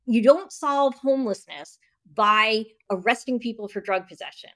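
A woman's voice: on the high side, moderate pace, a bit bright. A woman interview guest debating and explaining her position in an insistent, argumentative manner. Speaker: guest, author and journalist.

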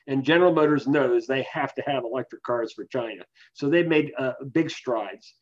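A man speaking as an expert guest, giving explanatory interview answers in a measured, analytical way. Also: medium pitch. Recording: dull, lo-fi voice capture.

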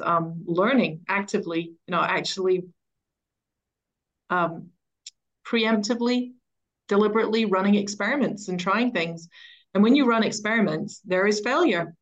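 A woman's voice: medium pitch, poor audio. A middle-aged woman speaking as an interview guest, explaining her work in a conversational way. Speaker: interview guest, education coach.

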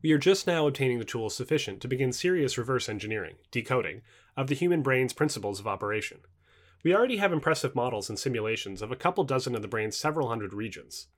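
A man reading non-fiction in a scripted audiobook style, with formal, clearly enunciated phrasing. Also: mid-range voice, expressive, fast talker. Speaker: reader of a non-fiction book.

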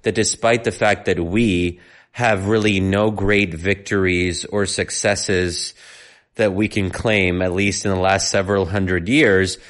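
A man's voice: sits low, steady tone, a little slow, a bit bright. An American man of Egyptian parents hosting a casual podcast discussion, thinking aloud and correcting himself as he goes. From United States, of Egyptian parents. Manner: thoughtful, rambling, self-correcting. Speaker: podcast host.

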